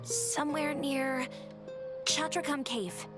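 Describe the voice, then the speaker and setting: A woman's voice: high-pitched, fairly even intonation, slow pace. A woman voicing a hesitant, polite, helpful event attendee in a video game. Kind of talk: video game character acting. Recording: loud music behind.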